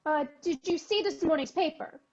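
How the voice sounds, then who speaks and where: A woman's voice: high-pitched, normal speed. A woman playing a pushy, prying male newspaper reporter on a telephone in an old-time radio drama. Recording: dull, band-limited telephone-call sound.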